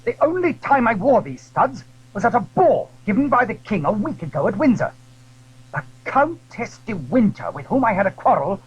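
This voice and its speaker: An aristocratic duke, grand and easily agitated. His voice is high and swings widely, with a husky edge. It is period radio drama on a dull, aged recording. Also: full character voice. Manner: grand, dramatic, urgent exclamations. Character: agitated English duke, imperious aristocratic nobleman. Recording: dull, noisy old audio drama recording.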